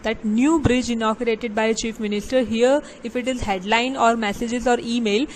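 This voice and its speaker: A woman's voice: a little high, steady tone, slight background noise. A woman teaching an English grammar lesson to students, reading out rules and examples, then explaining them with small restarts and fillers. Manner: slow, deliberate reading of examples with frequent restarts.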